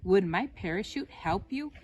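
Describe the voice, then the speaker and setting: A nervous little bird from a storybook read by a woman. It is helpful and confiding, with lively movement in its pitch. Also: moderate pace, a little hiss or hum, lightly acted. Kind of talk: read-aloud children's picture book.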